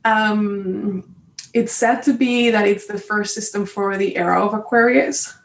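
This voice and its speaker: A woman's voice: mid-range voice, steady tone, a little slow, a little hiss or hum. A woman with a Colombian Spanish accent, a native Spanish speaker, chatting enthusiastically as a podcast guest and laughing often. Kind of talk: casual interview chat. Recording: echoey room, far from mic.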